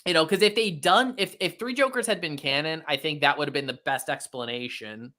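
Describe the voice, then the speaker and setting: Guy chatting casually, bright punchy voice, rambles and hedges a lot, loads of you knows. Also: on the high side, fairly quick. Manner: hedging, discursive, filler-heavy. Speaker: guest co-host from a geek show. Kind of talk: casual fan discussion.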